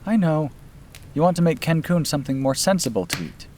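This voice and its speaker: A man's voice: on the high side, animated, a little fast, a little mellow, a little hiss or hum, voice pushed up, natural voice. A man voicing a caring, slightly sardonic young woman in a narrated story. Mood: gentle, uneasy. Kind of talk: audiobook-style character dialogue.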